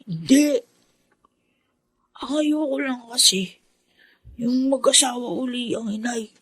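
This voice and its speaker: Poor family's teenage son: raspy-edged, youthful, worried and sincere, radio serial. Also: female voice, a little high, takes it slowly, dry recording. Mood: troubled, hesitant. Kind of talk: radio drama acting.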